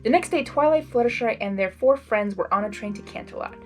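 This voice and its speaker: Excitable female story reader, higher register, very lively intonation, faint music bed. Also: fairly quick. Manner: excitable, gushing. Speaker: fan fiction reader and channel host. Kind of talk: expressive storybook reading with spontaneous reactions.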